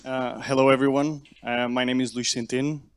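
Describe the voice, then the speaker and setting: A man's voice: medium pitch, level tone, fairly quick, clear, resonant tone. A young man from Portugal, introducing himself hesitantly at a ceremony with many fillers. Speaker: incoming student.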